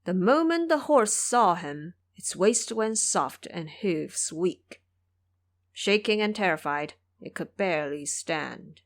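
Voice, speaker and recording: A woman narrates a dramatic story in scripted audio drama style. Her delivery is expressive and explanatory, with a very lively pitch that rises and dips. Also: takes it slowly.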